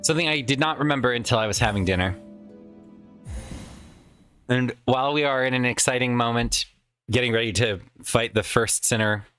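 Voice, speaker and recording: A man's voice: mid-range voice, fairly quick, pauses often, fairly bright tone, clear, resonant tone, soft background music. Chatty man with a tired voice. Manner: chatty, rambling, swears casually. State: voice tired from heavy use. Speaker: let's play host. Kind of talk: casual gameplay commentary.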